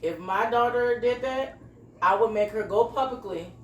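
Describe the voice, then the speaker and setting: A woman's voice: on the high side, normal speed. A woman in a casual, opinionated phone conversation, speaking in a matter-of-fact way and agreeing with the other person. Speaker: company interviewer who screens job candidates. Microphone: phone call. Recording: echoey phone call with background noise.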